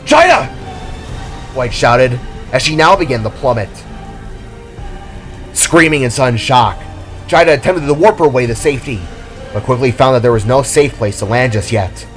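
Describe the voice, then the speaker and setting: Male narrator, slightly high voice, dramatic scripted storytelling, music in the background.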